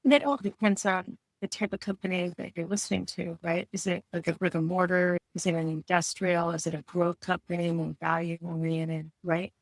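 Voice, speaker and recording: A woman's voice: fairly low pitch, steady tone, moderate pace, hardly any pauses, no echo, rough recording. A woman interviewer asking questions in a conversational style.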